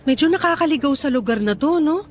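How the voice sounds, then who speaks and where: Cautious Filipino wife, slightly high. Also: female voice, animated, fast talker, a little hiss or hum. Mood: doubtful, uneasy. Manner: questioning, hesitant. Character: cautious, doubtful wife and sister-in-law. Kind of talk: naturalistic radio drama dialogue. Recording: faint music under dialogue. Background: faint music.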